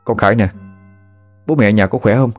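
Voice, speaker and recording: A man voicing a sick young man who talks quickly and earnestly. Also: lowish voice, faint music behind, lightly acted. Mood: reassuring.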